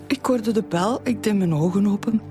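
An actress playing a shaken, grieving widow under questioning in a radio drama, distraught and. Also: female voice, medium pitch, normal speed, natural voice. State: distraught, struggling to speak. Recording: music behind the voice.

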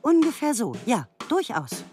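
A woman's voice: fairly high pitch, wildly swooping pitch, measured pace. A woman playing a confident, insistent socialist debater in a scripted radio drama, speaking emphatically with music underneath. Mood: assured.

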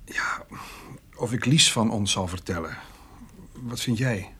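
An actor playing an earnest village man who talks in a halting, unsure way in a radio drama. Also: on the low side, bright, forceful tone, slightly rough, exaggerated voice. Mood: hesitant, earnest. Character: hesitant, earnest village man, former lover.

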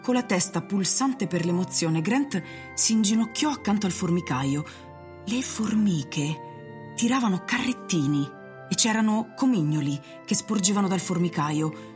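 A woman's voice: medium pitch, animated, a little slow, a little mellow. A woman narrator reading scripted literary narration for an Italian radio drama. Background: music.

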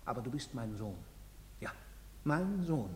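A man's voice: medium pitch, big pitch swings, unhurried, darkish tone. An actor playing a desperate, guilt-ridden middle-aged father in a theatrical drama, urgent and imploring. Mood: anguished confession. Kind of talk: theatrical radio drama acting. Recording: long hall reverb.

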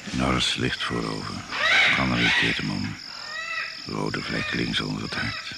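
A very deep, gravelly male narrator tells a jungle adventure radio play. He speaks in short, suspenseful phrases. Insects chirp through a very noisy recording. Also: steady tone, a little slow, full character voice. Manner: terse, suspenseful, clipped phrases. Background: crickets and jungle insects.

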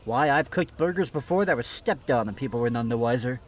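A man's voice: medium pitch, animated, brisk, slight background noise, close to an everyday voice. A man hosting a comedy skit, playing a pompous, stubborn backyard grill master who lectures with exasperation. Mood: exasperated, pompous. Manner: mock-instructional, exasperated. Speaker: show host. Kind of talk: scripted comedy skit in old-time radio show.

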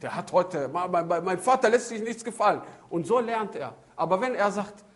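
A man, middle-aged, preaching a religious sermon in an animated storytelling way, acting out dialogues, repeating himself and asking rhetorical questions, with Arabic phrases mixed in. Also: high-pitched, moderate pace. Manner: code-switching into Arabic phrases. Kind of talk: religious sermon on raising children.

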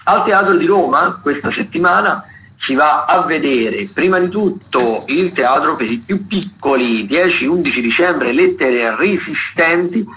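A man's voice: fairly high pitch, animated, measured pace, sharp tone, a little hiss or hum, low-quality recording. A man hosting a radio show, running informally through event listings in a hesitant, digressive way. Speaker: radio host presenting theatre listings.

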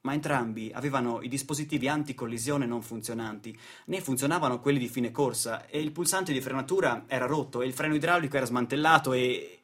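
Theatrical male storyteller, deliberate phrasing. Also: medium pitch, fairly bright tone. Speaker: stage storyteller and actor. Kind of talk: theatrical narrative monologue.

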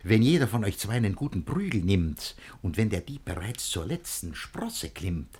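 An actor playing a jealous, scheming middle-aged gentleman in a rhymed-verse radio play, declaiming and swinging between muttering and outbursts. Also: lowish voice, big pitch swings, normal speed, breathy edge. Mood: scheming, vengeful.

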